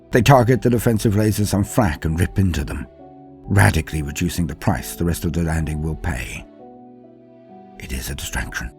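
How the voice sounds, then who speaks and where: Grim veteran sci-fi war officer, played by a male narrator: low-pitched, solemn, dramatic pauses, faint music underneath. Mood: grave, dramatic. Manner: solemn, measured, dramatic pauses with hushed phrase endings. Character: grim, doomed male super-soldier officer recalling battle. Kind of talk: dramatic first-person scripted war-story narration.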